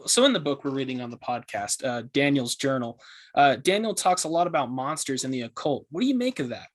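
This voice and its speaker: A man playing an eager, curious podcast host who asks questions in an earnest, excitable, conversational way. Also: mid-range voice, a little fast, lightly acted. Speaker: podcast host interviewing a guest. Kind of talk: conversational scripted podcast interview.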